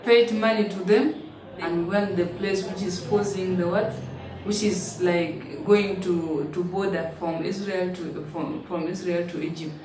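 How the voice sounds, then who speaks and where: A woman, telling her story in halting, simple English with frequent restarts. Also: fairly low pitch, fairly even intonation, crowd noise. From South Sudan. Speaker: interviewee, refugee. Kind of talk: personal testimony in an interview. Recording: roomy, distant mic, noisy ambience.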